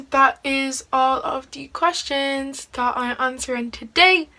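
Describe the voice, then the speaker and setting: A teenage girl chats her way through a makeup vlog, easygoing and full of fillers. Her voice is bright and slightly high, with a clear, sunny ring to it. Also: slow. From Ireland. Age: teens. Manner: chatty, giggly, lots of "like" fillers. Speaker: beauty vlogger. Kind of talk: casual get-ready-with-me chat.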